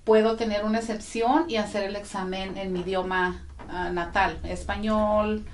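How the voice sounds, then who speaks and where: A woman's voice: medium pitch, steady tone, normal speed, a little hiss or hum. Woman explaining legal steps in an echoey office. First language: Spanish. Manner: friendly, explanatory, frequent tag questions. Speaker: immigration legal representative. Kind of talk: informative interview talk. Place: small office with bookshelves, indoors.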